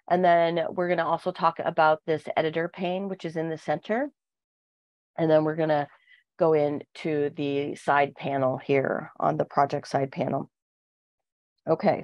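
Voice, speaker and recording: A woman with a cold, sounding nasal, walking through software on a screen share with plenty of fillers. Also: low-pitched, dry recording, dull audio. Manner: steady explaining with frequent fillers. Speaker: product trainer. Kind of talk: software walkthrough.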